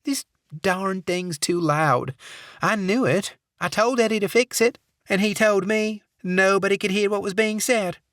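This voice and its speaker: A woman's voice: medium pitch, unhurried, no echo, studio quality, pitched above the performer's own voice, close to an everyday voice. A man voicing a saccharine Southern receptionist woman in her fifties in an audiobook. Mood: sugary. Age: 50s. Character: sweet chatty middle-aged Southern receptionist woman.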